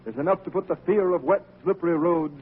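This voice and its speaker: A man's voice: high voice, fast talker, breathy edge. A man announcing in an emphatic, salesmanlike 1930s radio advertising style. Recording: tinny, muffled old radio recording.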